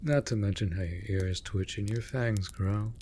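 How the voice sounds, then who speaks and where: A low-pitched male raven familiar acted by a man speaks over faint background noise. He is formal and theatrical, an exasperated but devoted servant in a fantasy audio drama who chides because he cares. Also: normal speed, a little mellow, close to an everyday voice. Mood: chiding, caring. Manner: theatrical, formal, scolding yet caring. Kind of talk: scripted fantasy audio roleplay.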